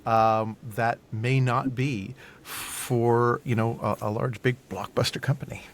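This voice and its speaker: A man chatting casually as an interview guest who produces indie audio dramas, explaining things in a halting, thoughtful way. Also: flat delivery. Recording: faint background noise, low rumble.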